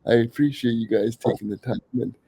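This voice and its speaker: A man hosts a casual podcast while slightly sick, his voice a little worn. His tone is very dark and mellow and his pitch stays quite level. He talks earnestly and eagerly, stumbling through restarts. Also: fairly even intonation, fairly quick, creaky, husky, no room sound. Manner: earnest, enthusiastic, many fillers and restarts. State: a little sick, voice under the weather. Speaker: podcast host.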